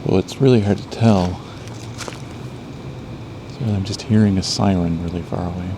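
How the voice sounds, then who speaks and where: A man hosting a birding show, explaining what he observes in a measured way as narration and field commentary. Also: deep voice, steady tone, background noise. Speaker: birding podcast host.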